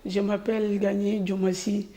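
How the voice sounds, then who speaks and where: Mellow, flat-toned Malian-accented woman. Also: mid-range voice, moderate pace. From Mali. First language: not French. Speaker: language course student. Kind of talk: simple prepared interview answers. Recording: long hall reverb.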